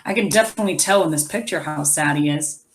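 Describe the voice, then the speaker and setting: A woman teacher reading a children's story aloud expressively, pausing to explain and wonder aloud. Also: deep voice. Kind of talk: animated children's read-aloud with asides.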